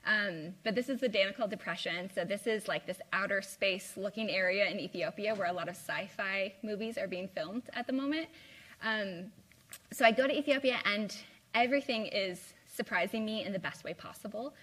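A young woman from the American Midwest speaks to a small university audience in a relaxed, informal way. Her talk is chatty and full of "like" and "um", and her pitch sits in the middle. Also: moderate pace, slightly rough. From Midwest US (Indiana). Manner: chatty, lots of "like" and "um". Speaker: guest speaker. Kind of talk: informal live talk with slides. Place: university lecture room.

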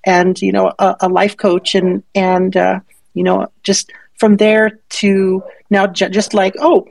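Gravelly woman running a live chat, jokey and teasing, dry close sound. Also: mid-range voice, flat delivery, a little mellow, no room sound. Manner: playful, joking, drawn-out questions. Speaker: host of live audio chat. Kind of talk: casual live audio chat.

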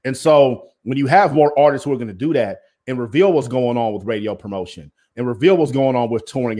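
A man with a deep voice, a former basketball coach, speaking bluntly and emphatically as an interview guest. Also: darkish tone. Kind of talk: interview conversation.

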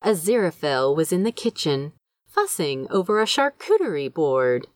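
A woman reading a story aloud in an expressive, wry and conversational way. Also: low-pitched, moderate pace, no echo, studio quality. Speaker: fan fiction narrator. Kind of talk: expressive scripted story narration.

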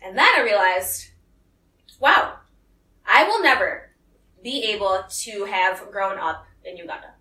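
A bright, forceful young woman talking to a small group in a room full of echo, a little back from the mic. She tells her story in a lively way and slips into other people's voices for the quotes. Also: mid-range voice, a little slow, long pauses. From United States. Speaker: speaker giving a talk. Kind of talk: personal talk to a small group. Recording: echoey room, voice from some distance.

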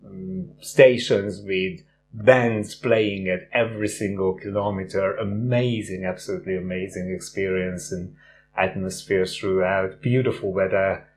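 A middle-aged man who grew up in Hungary, speaking in a fairly low voice as a guest on a casual interview. He tells his story warmly and fills the pauses with "uh". A faint hum runs behind him. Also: fairly even intonation. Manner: warm storytelling with frequent "uh" fillers. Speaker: guest, runner and teacher.

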